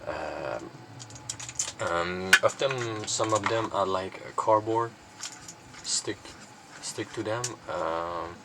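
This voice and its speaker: A man's voice: deep voice, extremely slow, vocal fry, long pauses, heavy background noise. A man presenting his collection in a casual, unscripted show-and-tell, rambling and hesitant with lots of filler words.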